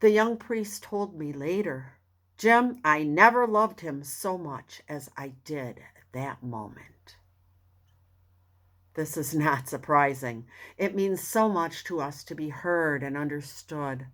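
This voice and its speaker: A woman with a low voice reads a self-help audiobook at a slow pace. Her delivery is earnest and deliberate, using pauses and expressive emphasis to set off important phrases. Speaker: audiobook reader. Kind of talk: expressive scripted reading of a self-help book.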